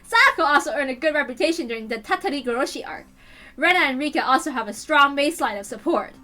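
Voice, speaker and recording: A woman's voice: sits high, animated, quick pace, bright, forceful tone. A woman voicing a scheming, gloating young twin sister from an anime visual novel, taunting with theatrical mock politeness. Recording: music behind the voice.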